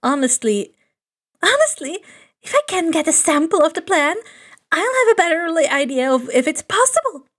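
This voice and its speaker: A woman voicing an excitable, bubbly teenage girl inventor in a fan audiobook, eager and teasing. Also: extremely high pitch, moderate pace, voice pushed up, full character voice. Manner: bubbly, enthusiastic.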